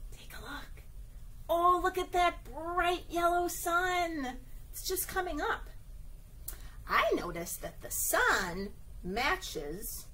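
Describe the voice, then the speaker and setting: A woman with a high voice that swoops widely as she reads to small children. She takes her time in a sing-song story-time manner, often checking in with little questions. There is some noise in the recording. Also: sharp tone. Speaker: children's librarian reading a picture book. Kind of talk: read-aloud story time for young children.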